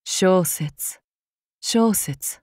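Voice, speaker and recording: Vengeful young samurai woman, very slow measured delivery, dry close recording, cold and unstable. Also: fairly low pitch, no echo. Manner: slow, measured, calm then suddenly fearful. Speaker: voice actress for a game character. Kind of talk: game character voice lines.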